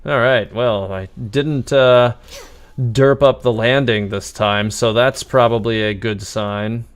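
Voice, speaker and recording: A man's voice: medium pitch, steady tone, takes it slowly, clean tone. A man streaming a video game, thinking aloud in easygoing, casual live commentary.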